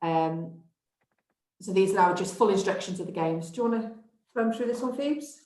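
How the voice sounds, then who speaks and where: A woman leads a training webinar in a reassuring, encouraging tone. Her voice sits at an ordinary middle pitch. The room around her is noticeably echoey. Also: normal speed, darkish tone. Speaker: webinar presenter.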